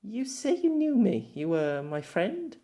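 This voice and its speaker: A man puts on a regal young sorceress for a fantasy audiobook, lifting the pitch well up. She is proud and teasing, with haughty, grandly formal phrasing and theatrical swoops. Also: very animated, measured pace, mellow.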